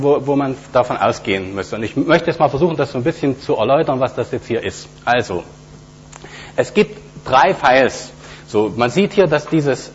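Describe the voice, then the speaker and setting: A man lectures on programming, pausing often with fillers as he walks through code on screen. The recording is dull and lo-fi, with a faint hum underneath. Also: medium pitch. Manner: hesitant, many fillers, explanatory. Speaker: conference speaker. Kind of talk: technical talk with live demo.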